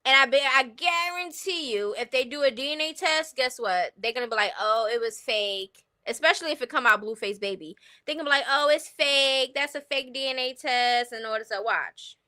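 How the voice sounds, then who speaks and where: Bright-voiced, blunt New York woman. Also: high voice, moderate pace, few pauses. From New York City, United States. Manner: blunt, opinionated, slangy. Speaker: livestream host. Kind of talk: casual livestream chat, celebrity gossip commentary.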